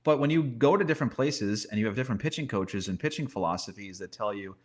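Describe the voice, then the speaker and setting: A man's voice: fairly low pitch, expressive, fast. A man, a sports broadcaster as podcast guest, talks through baseball analysis conversationally, often posing questions to himself.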